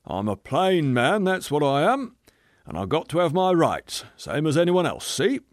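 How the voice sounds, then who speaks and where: A male reader gives us a hulking, bullying man who growls and blusters, demanding his rights. The voice climbs higher and louder than the narration, very lively in pitch. Close, dry sound. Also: normal speed, dry recording. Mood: belligerent. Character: big beefy belligerent working-class man.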